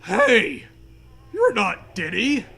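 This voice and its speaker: A suspicious ghost horse, played by a man, declaims in a high, bright voice. It is indignant and emphatic, with animated swings fit for a comic storybook. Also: very slow, slightly rough, full character voice.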